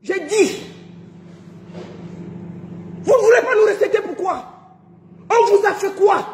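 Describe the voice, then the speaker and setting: A woman from Cameroon in an indignant rant, shouting and tripping over her words in anger. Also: very high voice, expressive, slow, reverberant, slight background noise. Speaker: angry social media commenter.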